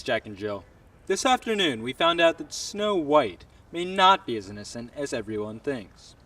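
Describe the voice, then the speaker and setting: A man acts out an earnest TV news field reporter in a comedy sketch. The delivery is dramatic and emphatic, with big swings in pitch, picked up at some distance from the mic on location. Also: fairly bright tone.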